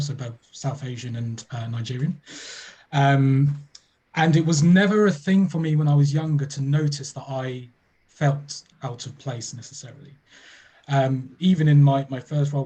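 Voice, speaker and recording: Husky, mellow-voiced thoughtful man. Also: medium pitch, low-quality recording. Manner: thoughtful, measured, with many fillers. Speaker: panelist. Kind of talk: webinar panel discussion. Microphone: video call.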